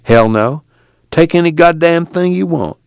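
A man voicing a bitter, self-pitying one-eyed junkyard worker with rough, plaintive country speech in an audiobook. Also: on the high side, lively intonation, unhurried, no room sound, clean studio sound, pitched above the performer's own voice. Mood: gruff. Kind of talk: acted audiobook dialogue.

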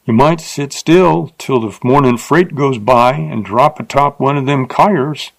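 A man's voice: mid-range voice, a little slow, vocal fry, no echo. Man putting on a leering drunk frontier rider. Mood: gruff. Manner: coarse rustic drawl, jeering.

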